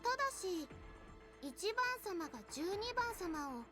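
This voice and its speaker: Poised childlike goddess, extremely high pitch, refined haughty manner, visual novel game, prominent music. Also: female voice, big pitch swings, moderate pace. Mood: composed, formal. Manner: polite, haughty, refined ladylike speech. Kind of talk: Japanese anime-style visual novel acting. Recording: loud game music under the voice.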